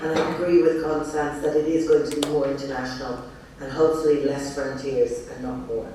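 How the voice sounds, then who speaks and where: A middle-aged Irish woman speaking as a panelist, reflective and steady. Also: very deep voice, fairly quick, a little hiss or hum. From Ireland. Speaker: panelist, casting professional. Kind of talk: panel discussion. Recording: echoey hall from far off.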